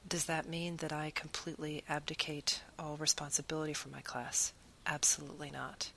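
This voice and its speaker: A woman's voice: low voice, gravelly, bright, forceful tone, slight background noise, rough recording. A woman who teaches Spanish, giving an earnest, reflective talk about her teaching and piling up long lists of phrases.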